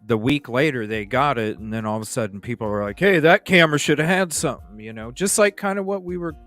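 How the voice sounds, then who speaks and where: A man's voice: mid-range voice, animated, fast talker, a bit bright, soft background music. A man hosting a true-crime stream, talking casually and conversationally in a rambling way, repeating himself for emphasis. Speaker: host of a true-crime livestream. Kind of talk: casual case discussion.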